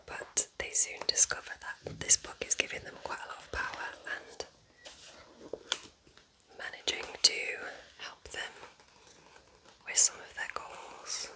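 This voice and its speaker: A woman speaks entirely in a breathy whisper. She presents in a casual ASMR style, letting short, soft phrases fall gently, as if chatting to one listener. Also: a little slow, pauses often, poor audio. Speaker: ASMR creator presenting book recommendations.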